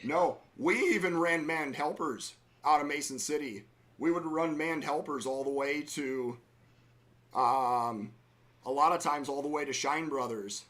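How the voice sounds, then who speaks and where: A middle-aged man hosting a live train-watching stream, chatting casually in railroad jargon, his voice slightly hoarse from a cold. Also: on the high side, measured pace, a bit bright. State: slightly hoarse, under the weather.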